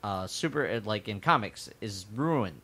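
A man's voice: fairly low pitch, lively intonation, unhurried, fairly bright tone, husky. A man co-hosting a podcast, explaining at length and with emphasis, drawing out his words in casual chat. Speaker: podcast co-host.

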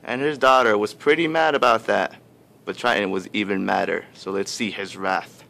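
Low-voiced man narrating a puppet show. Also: fairly even intonation, normal speed, gravelly, sharp tone. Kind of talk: casual, slangy storytelling for a puppet show. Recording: faint noise and rumble, amateur recording.

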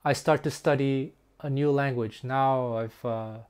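A middle-aged man who grew up speaking Taiwanese, a music teacher talking casually to camera in an earnest, reflective, slightly halting way. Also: mid-range voice, steady tone, a little mellow, smooth. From French-speaking Canada. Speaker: guitar teacher. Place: small room indoors.

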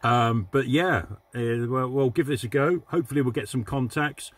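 A man's voice: mid-range voice, normal speed, husky, no room sound. A man with an English accent chatting casually as a CB radio vlogger, recorded inside a parked vehicle. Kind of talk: casual vlog chat and radio operating.

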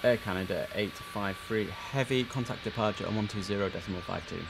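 A young man streaming a tower simulator, giving crisp controller calls between chatty asides. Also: lowish voice, fairly quick, over music. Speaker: streamer playing air traffic controller. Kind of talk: gameplay commentary with radio phraseology. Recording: noisy game stream audio.

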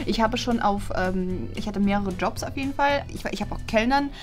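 A woman in her twenties playing a blunt, money-minded job applicant in an improvised comedy sketch, halting and repetitive. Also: mid-range voice, close to an everyday voice. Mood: hesitant, eager. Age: 20s. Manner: halting, repetitive, self-correcting. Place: small bright office room. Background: clear background music.

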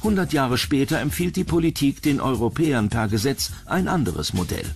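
A man's voice: medium pitch, animated, moderate pace, vocal fry. Male documentary narrator over music. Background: background music.

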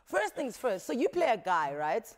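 A woman's voice: fairly high pitch, big pitch swings, background noise. A woman in her thirties hosting a television talk show, chatty and playful, speaking on a lapel mic in a studio. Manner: playful, chatty, quick laughs. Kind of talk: casual studio interview. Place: tv studio set.

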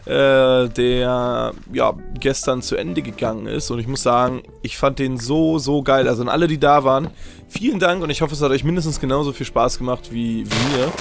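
A young man, slightly hoarse, chatting casually and rambling with many fillers as he comments on his own game. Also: mid-range voice, normal speed, soft background music. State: slightly hoarse after a long stream. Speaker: Let's Play host.

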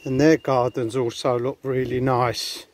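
Male vlogger, unhurried chatty walk-and-talk outdoors, handheld camera with faint background noise. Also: mid-range voice, flat delivery. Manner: rambling, chatty, addresses viewers. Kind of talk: casual walking vlog. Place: outdoors on a beach and hotel paths.

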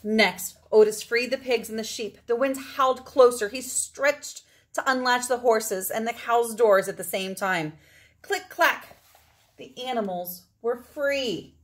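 A middle-aged woman reads a children's storybook aloud, with dramatic phrasing and drawn-out words for emphasis. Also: fairly high pitch. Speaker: storybook reader. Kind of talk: expressive read-aloud of a children's picture book.

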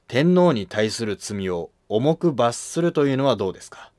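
A man's voice: mid-range voice, expressive, normal speed, darkish tone, no room sound, studio quality, close to an everyday voice. A man playing a deferential, earnest court minister in a scripted historical drama. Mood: respectful, explanatory.

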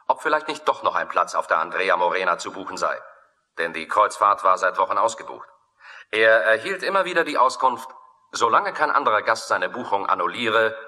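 Sharp, forceful investigator. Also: male voice, fairly low pitch. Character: methodical, dry male investigator briefing a colleague. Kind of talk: German radio thriller acting. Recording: long hall-like reverb.

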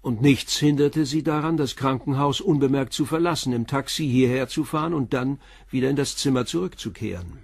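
A sober police commissioner in a crime radio drama. His mid-pitched voice is husky and insistent, probing matter-of-factly in a very dry studio sound. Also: fairly quick, few pauses, no room sound. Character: persistent, sober male police commissioner questioning suspects. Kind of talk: German crime radio play acting.

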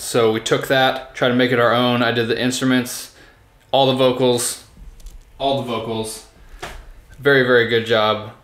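A man's voice: medium pitch, a bit bright, roomy sound. A man casually explaining home music recording to his viewers in a behind-the-scenes vlog, talking from his own recording room. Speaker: home studio recording vlogger.

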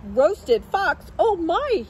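A woman's voice: extremely high pitch, big pitch swings, drawn-out words, a little hiss or hum, exaggerated voice. Storybook fox, sly and hungry, coaxing and overly friendly, read by a woman. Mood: alarmed.

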